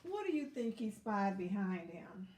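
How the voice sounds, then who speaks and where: A woman reading a picture book aloud to children, expressive and pausing to put questions to them. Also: medium pitch, recorded from a distance. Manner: expressive, asks questions of young listeners. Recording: roomy echo, away from mic.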